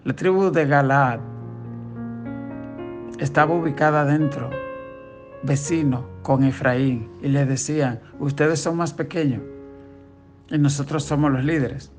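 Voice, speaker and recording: A man's voice: on the high side, unhurried, pauses often, music in the background. A man with a southern Dominican accent, a pastor giving a daily devotional sermon, reading scripture and explaining it in a conversational preaching tone. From southern Dominican Republic.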